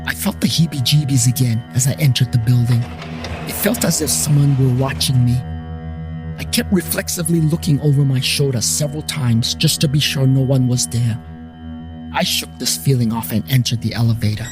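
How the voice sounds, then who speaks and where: A man's voice: mid-range voice, brisk. A man telling a scripted comic story in the first person, with wry, irreverent asides to the listener. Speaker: storyteller narrator and host of a paranormal investigations series. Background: plucked guitar music.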